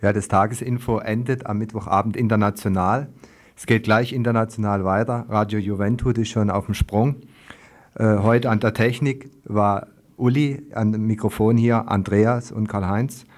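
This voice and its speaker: Male radio presenter, fairly low pitch, handling programme sign-off and credits. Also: steady tone, moderate pace. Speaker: radio host.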